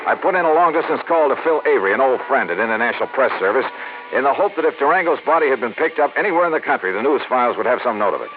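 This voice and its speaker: A man playing a brisk radio-era insurance investigator. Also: medium pitch, animated, music in the background, lightly acted. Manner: quick, wry, urgent. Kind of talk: 1950s radio drama acting and narration. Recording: scratchy lo-fi old radio broadcast.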